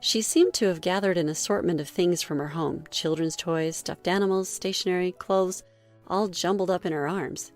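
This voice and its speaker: A woman reading a scripted first-person story in a measured read-aloud manner. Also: fairly low pitch, faint music behind. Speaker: story narrator.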